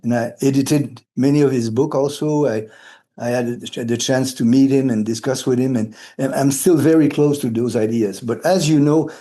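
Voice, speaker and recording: A man in his late sixties from Quebec, a native French speaker with a Quebec French accent, giving a reflective, halting and earnest interview. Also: mid-range voice, steady tone, warm tone. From Quebec, Canada, brought up partly in Cameroon and Senegal. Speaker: interviewee, retired philosophy of education academic.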